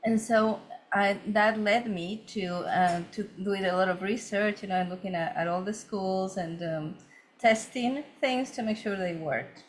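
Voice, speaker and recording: A woman's voice: mid-range voice, unhurried, a little hiss or hum. A woman from Ecuador, a feng shui consultant, telling her story earnestly in a conversational interview with many fillers and restarts.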